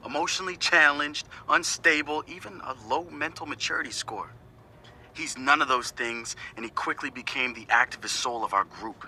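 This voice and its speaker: An actor playing a confident young hacker activist, recording a casual, slangy, wry audio log for a video game. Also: male voice, mid-range voice, sharp tone, a little hiss or hum. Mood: earnest. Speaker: hacker group leader narrating audio logs.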